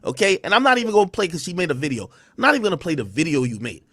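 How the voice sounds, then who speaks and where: A man's voice: fairly high pitch, huge pitch swings, rapid-fire, fairly bright tone, no room sound, studio quality. A livestreamer man ranting defensively, rambling in heavy slang. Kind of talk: livestream rant.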